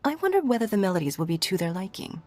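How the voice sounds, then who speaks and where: A woman's voice: medium pitch, sing-song, fast talker. A woman playing an elegant, courteous stateswoman in a video game, formal, poised and gracious. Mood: solemn, reflective. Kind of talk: video game character acting. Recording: soft music behind the voice.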